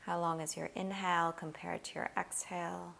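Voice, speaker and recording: A woman leading a guided body scan meditation, giving gentle, unhurried instructions with long pauses. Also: fairly low pitch, little pitch movement, vocal fry, a little hiss or hum.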